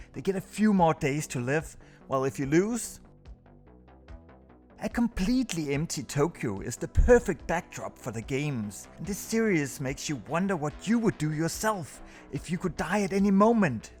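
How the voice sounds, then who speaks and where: A man reading a scripted countdown review as the host of a video. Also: on the high side. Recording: music under the voice.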